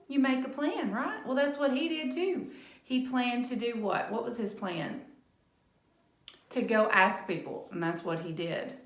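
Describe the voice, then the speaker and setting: Woman teaching children, slightly high voice, echoey. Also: fairly bright tone. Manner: rhetorical questions and tag questions. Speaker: speech-language pathologist reading to children. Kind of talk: children's lesson on a story. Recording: echoey room.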